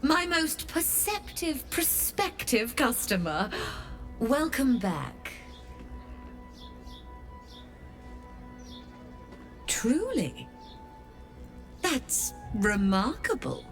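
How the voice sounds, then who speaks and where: Game role: imperious occult merchant, shrewd and haughty, unhurried, highly varied intonation. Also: female voice, wildly swooping pitch, a bit bright, soft background music, full character voice. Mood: proud, intrigued. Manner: measured, theatrical, haughty. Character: shrewd, imperious infernal merchant woman, latchkeeper of Hells. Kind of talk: video game character acting.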